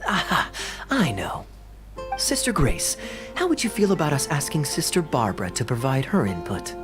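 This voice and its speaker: A man's voice: mid-range voice, huge pitch swings, normal speed, over music. A man voicing a friendly, earnest organizer in a fantasy game, upbeat and persuasive.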